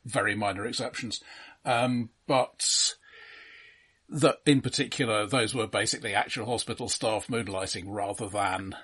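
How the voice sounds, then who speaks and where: A man's voice: lowish voice, fairly even intonation, normal speed, a bit bright, husky. A man co-hosting a casual film discussion, offering his observations conversationally. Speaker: film podcast co-host.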